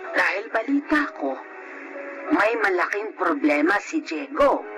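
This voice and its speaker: A woman's voice: medium pitch, sing-song, unhurried, gravelly, loud music behind the voice. An actress playing a caring, grave older Filipino mother in a radio drama, speaking with a Filipino accent.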